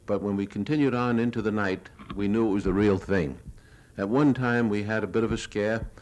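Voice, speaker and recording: Older male, American, lowish voice. Reporter's measured, plain-spoken lecture narration of war film, archival 1970s recording. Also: steady tone, a little mellow, low-quality recording. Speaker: newsreel reporter giving a lecture.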